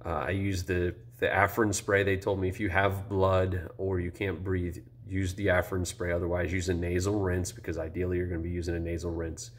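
A man's voice: sits low, fairly quick, few pauses. A man in his thirties giving a casual, rambling video review, congested and a little tired after nose surgery, recorded on a close-held phone indoors. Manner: relaxed and rambling, many ums and restarts. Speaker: vlogger. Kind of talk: casual first-person review. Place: indoor room with high ceiling. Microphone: phone camera held close.

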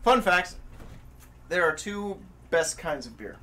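A man's voice: high voice, animated, takes it slowly. A man in his twenties telling stories in a casual, laid-back, profane way as a podcast co-host. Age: 20s. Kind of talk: casual storytelling chat. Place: furnished home room, indoors. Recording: faint room noise.

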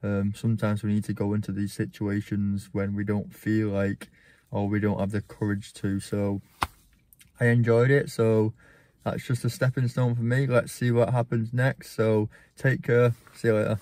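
A man's voice: lowish voice, level tone, normal speed, a little mellow, no echo. A man, earnestly talking to a phone camera from inside a parked car, with frequent ums. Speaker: church vlogger. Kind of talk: reflective vlog to camera. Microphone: phone camera at arm's length.

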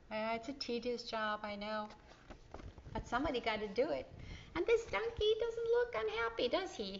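A woman's voice: mid-range voice, fairly bright tone, a little hiss or hum. A middle-aged woman reading a children's story aloud, expressively, with chatty questions to young listeners. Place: indoor living room. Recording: dull, slightly lo-fi sound.

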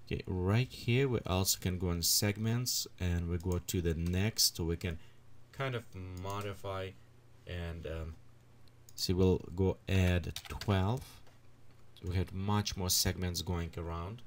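Deep-voiced guy with walking thru software settings, faint keyboard clicks behind. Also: fairly even intonation, slight background noise. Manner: unhurried, thinks aloud while clicking through settings. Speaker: software tutorial instructor. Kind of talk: screen-recorded software tutorial.

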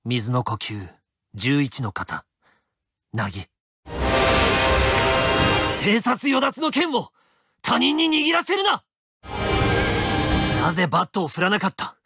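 A man's voice: high-pitched, wildly swooping pitch, takes it slowly, vocal fry, long pauses, heavily acted. An actor playing a terse, stern young swordsman in an anime-style game, cool and clipped. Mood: stern, angry. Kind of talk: anime-style mobile game character lines. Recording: game voice clips over loud music.

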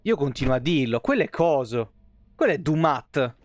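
A man's voice: medium pitch, big pitch swings, moderate pace, dry recording. A man in his thirties with a Roman Italian accent, giving casual, slangy live game commentary and chatting with viewers in rambling asides. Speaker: game streamer.

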